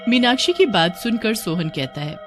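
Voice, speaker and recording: A woman reads a scripted tale as narrator. Her mid-pitched voice is expressive and animated, moving freely up and down, with clearly audible music behind it. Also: moderate pace. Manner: expressive storytelling.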